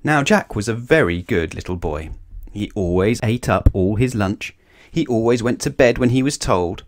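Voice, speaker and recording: A man reading a children's storybook aloud with expressive narration. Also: lowish voice, normal speed.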